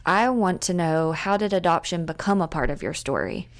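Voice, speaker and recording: Lower-voiced Southern woman hosting an interview. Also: expressive, moderate pace. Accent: Alabama Southern. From Alabama. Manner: friendly, warm, laughs along. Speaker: podcast host.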